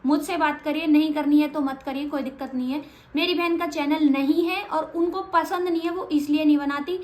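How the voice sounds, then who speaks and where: A high-pitched woman, speaking plainly and a little bluntly as she answers her viewers. Her voice rings in an echoey room. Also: steady tone, a little fast, a little mellow. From Haryana, India. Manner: frank, matter-of-fact, a little blunt. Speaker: vlogger. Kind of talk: casual Q&A vlog.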